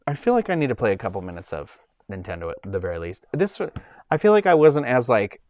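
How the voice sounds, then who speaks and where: A man's voice: mid-range voice, wildly swooping pitch, quick pace, darkish tone. A man with a Boston accent, hosting a casual livestream from home and chatting in a rambling way while he reads the chat. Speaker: livestream host.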